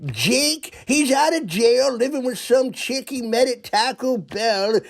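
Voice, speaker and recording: Rambling comic sports-fan caller put on by a performer, crude and punning, creaky voice, unhurried delivery. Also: medium pitch, expressive. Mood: jokey, folksy. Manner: rambling, slow, pun-happy comic delivery.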